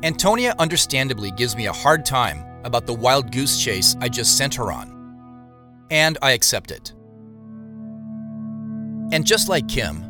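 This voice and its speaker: Male lead played by an actor narrating an audio drama in first person, measured and wary, lively pitch over guitar music. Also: natural voice. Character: male narrator recounting his own strange week, measured and wary. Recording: music behind the voice.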